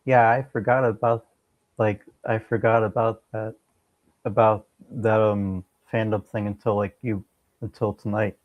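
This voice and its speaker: A man chatting casually as a podcast guest, laconic and halting in his speech, on slightly lo-fi audio. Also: fairly low pitch, flat delivery. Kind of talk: casual chat.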